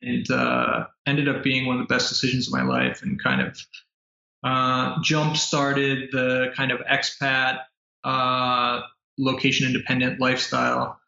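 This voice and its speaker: Gravelly American man on an echoey call. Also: medium pitch, measured pace, pushed and bright, highs cut off. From United States. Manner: hesitant, many fillers and restarts. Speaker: guest entrepreneur. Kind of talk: casual interview chat. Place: apartment in Manila.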